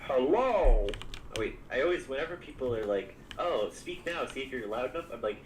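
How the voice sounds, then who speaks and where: A man chatting loosely with a friend while he plays, his voice swinging up and down with lively energy. He sits a little way from the microphone, so the sound is roomy and echoey, and clear noise hums underneath. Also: moderate pace. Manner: chatty, animated, jokey squeaks. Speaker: Minecraft modpack streamer. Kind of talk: casual gaming chat. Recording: echoey, distant mic with clear background noise.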